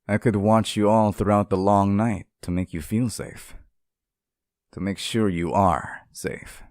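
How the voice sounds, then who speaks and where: A man voicing a lonely, sweet and possessively devoted sealed demon in an intimate ASMR roleplay, speaking softly, with tender, hesitant pleading. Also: sits low, no echo, clean studio sound. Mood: eager, devoted. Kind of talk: ASMR roleplay, intimate scripted monologue to the listener.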